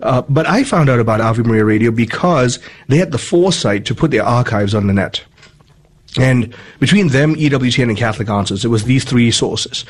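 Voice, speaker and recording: A man's voice: medium pitch, lively intonation, fairly quick, slightly rough. A man from Malaysia hosting a radio interview, talking earnestly and chattily with frequent restarts, into a studio microphone. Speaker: radio host.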